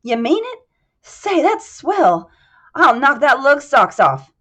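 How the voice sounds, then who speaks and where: A woman's voice: high-pitched, very animated, brisk, voice pushed up. A woman voicing a scarred male boxer who talks in blunt tough-guy slang. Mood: delighted. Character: scarred barrel-chested male boxer, gruff and eager.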